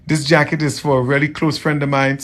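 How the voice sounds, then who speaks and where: A man from Trinidad with a softened Trinidadian accent, chatting casually with viewers on a livestream as a tailor, friendly and often repeating himself. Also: on the high side, level tone, fairly quick. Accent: Trinidadian, softened toward American. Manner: friendly, repeats phrases, greets viewers by name.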